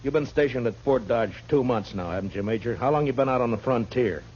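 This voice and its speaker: An American actor playing a firm, laconic frontier marshal in a 1950s radio western. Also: male voice, medium pitch, quick pace, a little mellow, slightly rough, close to an everyday voice. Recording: muffled, noisy old radio recording with hum.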